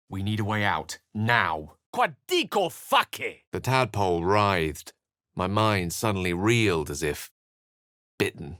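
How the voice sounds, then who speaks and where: A man's voice: lowish voice, fairly bright tone, no echo, clean studio sound, full character voice. A British man voicing an eloquent, courteous wizard in a video game, with articulate, bookish and theatrical phrasing. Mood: incantatory. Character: eloquent, urbane male wizard, wry and courteous. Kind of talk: video game character voice acting.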